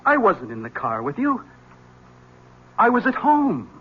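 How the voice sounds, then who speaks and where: An actor playing a bewildered, defensive husband in a 1970s radio drama, flustered and insistent. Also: male voice, high voice, huge pitch swings, measured pace, highs cut off, very noisy, full character voice.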